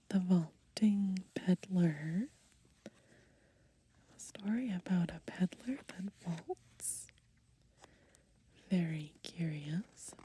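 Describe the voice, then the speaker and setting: A woman whispering softly and slowly in a gentle ASMR style, pausing between short phrases as she reads and browses through a book. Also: fairly low pitch, slight background noise. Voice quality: breathy, mostly unvoiced whisper. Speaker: ASMR host. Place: outdoors, lying in grass in the countryside.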